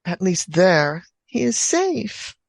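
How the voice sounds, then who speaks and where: Actress as a low-voiced, dry elderly wife. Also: female voice, very animated, takes it slowly, creaky, full character voice. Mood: wry. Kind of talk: radio play acting.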